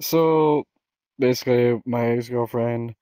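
A man telling a story casually to friends in a halting, stop-start way. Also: mid-range voice, fairly even intonation, dark tone, clean tone, no echo.

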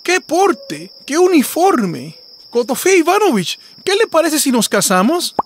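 Man putting on a high-pitched gushing female fox. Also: sing-song, measured pace, slight background noise, full character voice. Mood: gushing admiration. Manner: gushing, coaxing. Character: flattering, sly female fox, coy and bossy wife. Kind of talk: animated folk tale character voice.

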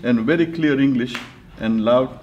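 A man on a panel telling a story animatedly and loudly. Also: mid-range voice, normal speed, roomy sound, muffled recording. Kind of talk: anecdote in a panel discussion.

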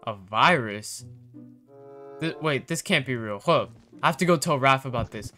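A young man acting as an excitable zombie apocalypse survivor in an amateur game roleplay. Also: medium pitch, moderate pace, faint music behind. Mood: uneasy, casual. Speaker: lead player in a game roleplay video.

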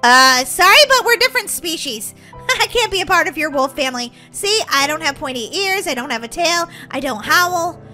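Woman as a squeaky, panicky little orphan girl. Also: expressive, normal speed, pushed and bright, faint music behind. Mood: reluctant. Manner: breathless exclaiming, whiny stammering. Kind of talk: children's Roblox roleplay story.

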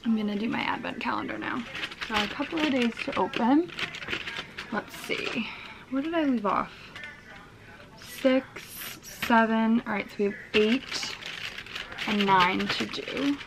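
A young woman vlogging casually from her bed with a croaky morning voice and a relaxed, chatty manner full of fillers, filmed on a camera held at arm's length. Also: a little high, unhurried, pauses often, noisy background. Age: young adult. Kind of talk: casual vlog chat. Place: bedroom, in bed. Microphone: handheld camera at arm's length.

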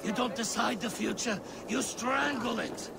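An actor playing a boastful, hot-headed Norse thunder god in a video game, bellowing and belligerent. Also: male voice, very high voice, unhurried, vocal fry, very noisy, exaggerated voice. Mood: belligerent boasting. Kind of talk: video game cutscene acting.

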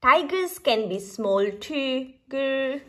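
A woman's voice: sits high, expressive, slow. A woman voicing a small, boastful, stubborn storybook mouse who insists on being a tiger. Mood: cocky, stubborn. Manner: insistent, cocky declarations.

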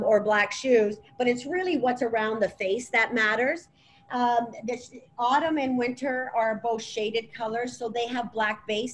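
A middle-aged woman presenting as a stylist in an informal webinar, explaining in a halting way over a video call. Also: fairly high pitch, poor audio. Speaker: colour analysis stylist presenting. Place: clothing boutique, indoors. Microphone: laptop or tablet on video call. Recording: video call with clear background noise.

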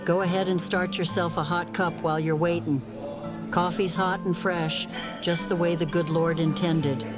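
A man's voice: fairly high pitch, level tone, moderate pace, voice pushed up, close to an everyday voice. A man voicing a cheerful graying waitress with a weary folksy drawl, over music. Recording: music bed underneath.

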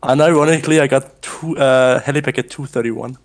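A man's voice: medium pitch, fairly even intonation, fairly quick. Laughing, teasing man on voice chat. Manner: laughs often, teasing, swearing. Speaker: speedrunner in a race. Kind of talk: casual voice chat during a race.

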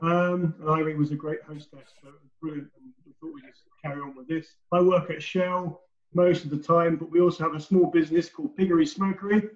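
A man's voice: a little high, steady tone, brisk. A man, chatting cheerfully and eagerly on a video call from his home kitchen. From Scotland. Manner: cheerful, enthusiastic. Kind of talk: casual chat. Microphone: video call laptop mic. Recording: echoey, dull video call audio.

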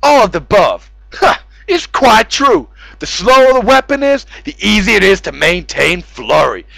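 High-pitched, wildly animated ranting man. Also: normal speed, vocal fry, no room sound, poor audio. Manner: over-the-top, profane, frequently bursting into shouts. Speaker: gamer in a voice chat. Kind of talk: mocking dramatic read-aloud of game forum posts.

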